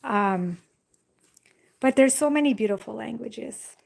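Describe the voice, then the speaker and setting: A woman's voice: on the high side, a little slow, gravelly, a little mellow. Chatty young woman on a phone live. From Jamaica. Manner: chatty, rambling, frequent "like" and "you know". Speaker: live stream host. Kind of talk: casual live chat reading viewer comments. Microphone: phone held in hand.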